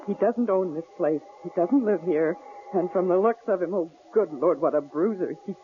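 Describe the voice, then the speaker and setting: An actress playing a nervous young wife in an old radio drama, talking in anxious, hesitant bursts. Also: female voice, on the low side, normal speed, natural voice. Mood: frightened. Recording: tinny old radio broadcast, faint hiss.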